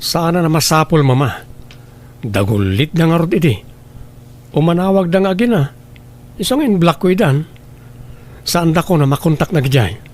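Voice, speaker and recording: Firm Ilocano father, slightly high-pitched man acting. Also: lively intonation, moderate pace, long pauses, lightly acted. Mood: defensive, firm. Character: proud, unbending businessman father and son. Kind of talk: Ilocano radio drama acting.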